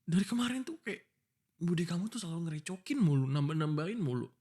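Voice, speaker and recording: A man's voice: on the high side, lively intonation, fast talker, warm tone. Exasperated young fiancé, acted by a man. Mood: annoyed, suspicious. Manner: pleading, scolding, informal and insistent. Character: exasperated, protective young fiancé arguing before his wedding. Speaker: voice actor. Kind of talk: boyfriend ASMR roleplay, one-sided dialogue.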